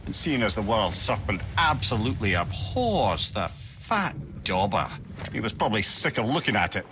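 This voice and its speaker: Chatty, wry older Scottish sage, a talking severed head, very lively pitch, game dialogue over clear background noise. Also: male voice, normal speed. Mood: wry storytelling. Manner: chatty, rambling storyteller, wryly humorous. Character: talkative, wry, learned reanimated severed head, older male. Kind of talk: video game character dialogue.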